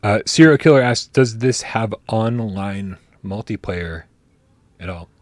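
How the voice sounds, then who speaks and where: A low-pitched man hosting a casual gaming livestream, close to a dry mic. He talks in animated bursts, swears casually and restarts his sentences often. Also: a little slow, no echo. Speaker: podcast host.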